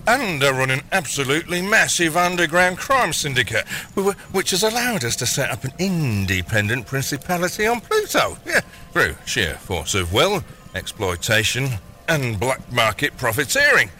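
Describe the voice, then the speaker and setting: A man's voice: mid-range voice, big pitch swings, normal speed, pushed and bright. An actor playing a bumbling, evasive middle-aged father turned crime boss in an audio comedy, stammering and hesitating as he tries to placate. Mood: placating, defensive. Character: bumbling, evasive long-absent dad turned gangster boss. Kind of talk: audio comedy drama acting.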